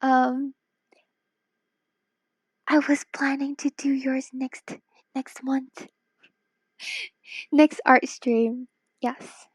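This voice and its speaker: A woman's voice: high voice, level tone, very slow, pauses often, slightly rough, no echo. A woman from Hong Kong chatting casually on a late-night stream, tired and worn-voiced, playful and teasing, sometimes dropping to a whisper. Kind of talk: casual live-stream chat while drawing.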